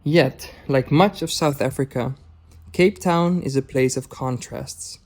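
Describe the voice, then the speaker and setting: A man whose first language is Dutch, narrating his travel vlog in a calm, measured, scripted voice-over. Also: medium pitch, soft background music. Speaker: travel vlogger.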